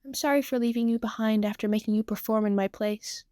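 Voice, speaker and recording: A woman's voice: fairly high pitch, fairly even intonation, fast talker, no room sound, clean studio sound, natural voice. A woman voicing a shy, self-blaming teenage girl in a story reading, hesitant and prone to stammering. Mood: ashamed. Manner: hesitant, stammering, soft-hearted.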